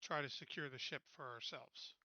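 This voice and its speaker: A man's voice: medium pitch, moderate pace, bright, forceful tone, no echo, muffled recording. A man chatting casually as a player in a tabletop role-playing game, talking through his next moves.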